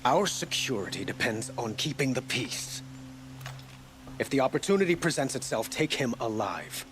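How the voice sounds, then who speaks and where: A man's voice: mid-range voice, very animated, moderate pace, close to an everyday voice. Casting: male actor as commanding court authority, stern, strongly inflected, game drama with faint noise. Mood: firm, commanding. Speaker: actor in a video game cutscene.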